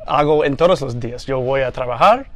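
A young man with an American accent, a native English speaker, talking in a TV studio interview, halting and searching for words, a little nervous and laughing. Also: mid-range voice. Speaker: news anchor guest. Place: small TV studio, seated on couch.

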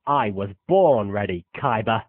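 An actor playing plucky, excitable teenage schoolboys in a parody anime dub. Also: male voice, a little slow, no room sound. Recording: tinny low-fidelity web audio, dry.

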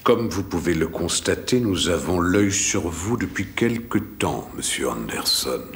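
A man dubbing a cold, formal male machine agent who speaks slowly with clipped, precise diction. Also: low-pitched, animated. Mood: coldly menacing.

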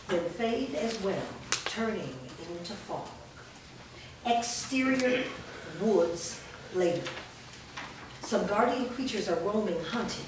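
A woman reading stage directions as narrator at a staged play reading. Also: mid-range voice. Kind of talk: scripted narration. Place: indoor performance room, seated before an audience. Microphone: far camera. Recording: distant mic, very echoey noisy room.

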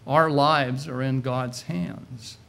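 Preacher, male, sixties. Gravelly and slow, pausing between short phrases, speaking at a lectern mic. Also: medium pitch, steady tone, a bit bright. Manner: measured, halting delivery in short phrases with pauses. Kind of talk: sermon retelling a Bible story. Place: indoor meeting room, at a lectern. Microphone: lectern gooseneck microphone.

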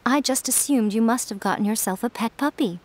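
A woman's voice: on the high side, a little fast, lightly acted. A woman voicing a sly, teasing fox shrine priestess in a video game. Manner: playful, teasing.

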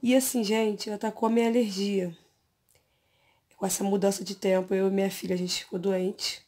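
A Brazilian woman in her thirties, hoarse from an allergy, chatting casually to her viewers from her bedroom with a phone camera close to her face. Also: mid-range voice, flat delivery, normal speed, mellow. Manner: chatty, hesitant, many fillers. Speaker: beauty vlogger. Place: bedroom at home, lying on pillows.

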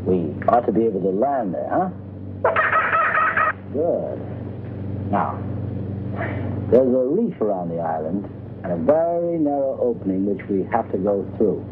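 An actor in his thirties playing a scheming gangster skipper in a 1960s film comedy, giving instructions in a deliberate way. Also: fairly low pitch, sing-song. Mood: conspiratorial, deliberate. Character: scheming gangster skipper, patiently laying out a plan. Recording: muffled, noisy old film soundtrack.

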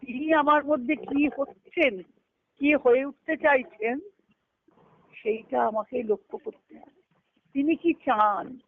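A woman's voice: high-pitched, slow pace, pauses often. A woman giving a devotional lecture on scripture over a conference call, teaching by repeating key phrases and answering her own questions. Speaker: spiritual discourse speaker. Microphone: conference call phone line. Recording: tinny narrowband conference phone line.

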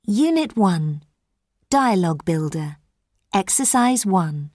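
Female textbook narrator: measured, slow, bright melodic pitch, dry booth. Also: very animated, no room sound. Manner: measured, careful reading. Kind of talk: scripted exercise announcements.

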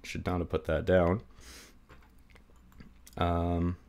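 Low-pitched male hobbyist, unhurried, stuffy nose, rambling tabletop demo. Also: completely flat delivery, a little mellow, clean tone. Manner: rambling, self-deprecating, many fillers. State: stuffy, getting sick. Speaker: hobby lockpicker demonstrating. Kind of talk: casual hands-on tutorial. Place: indoors at a table.

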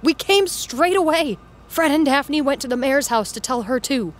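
A woman's voice: high voice, lively intonation, normal speed. An actress playing a frightened, earnest teenage girl, talking with urgent insistence in an audio drama.

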